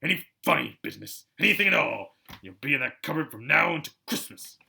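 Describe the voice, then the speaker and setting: A man voicing a gruff, irritable middle-aged uncle in a storybook, blustering and menacing. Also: medium pitch, sing-song, a little fast, sharp tone, exaggerated voice.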